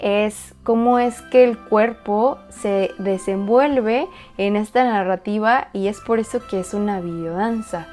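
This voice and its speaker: A woman dancer and choreographer calmly walking through how she built a dance video, speaking in a measured, reflective way with pauses. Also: mid-range voice, faint music behind. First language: Spanish. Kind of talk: explanatory walkthrough.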